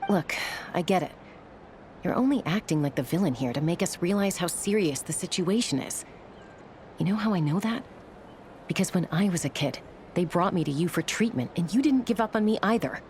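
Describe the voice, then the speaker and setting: A woman's voice: fairly low pitch, expressive, fairly quick, darkish tone, slight background noise, natural voice. A woman playing a loyal, resolute young scout in a video game, frank, earnest and firm.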